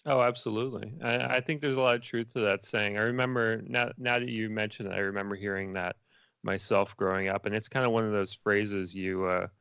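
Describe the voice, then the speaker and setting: A man's voice: on the low side, steady tone, brisk, gravelly, a bit bright, clean tone, dry recording. A middle-aged man giving casual, reflective interview answers, feeling his way through his thoughts as he talks. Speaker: interview guest, filmmaker.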